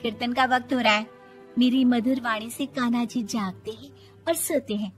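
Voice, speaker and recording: A woman's voice: fairly high pitch, steady tone, moderate pace, natural voice. A woman voicing a cheeky, self-assured young Indian daughter-in-law in a cartoon moral story, pert and argumentative. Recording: music under the voice.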